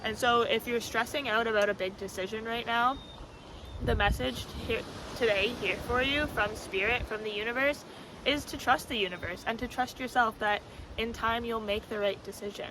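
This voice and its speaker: Loud-voiced woman vlogging in wind. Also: on the high side, normal speed. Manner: rambling, many fillers and "like". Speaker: spiritual vlogger. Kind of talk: casual spiritual talk to camera. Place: outdoors on a windy scenic spot. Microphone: camera mic, no external microphone. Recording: windy outdoor camera audio.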